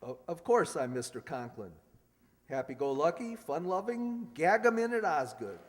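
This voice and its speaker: Radio sitcom principal male actor: pompous blusterer, theatrical, raised pitch, reverberant hall. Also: sing-song, normal speed. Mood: forced jolly. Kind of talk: old-time radio sitcom acting.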